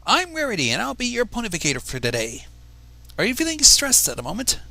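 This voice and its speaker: A man's voice: high voice, huge pitch swings, quick pace, creaky, sharp tone, pitched above the performer's own voice, full character voice. A man voicing a prim, theatrical female cartoon pony with a fussy, affected, genteel manner. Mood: brisk, prim.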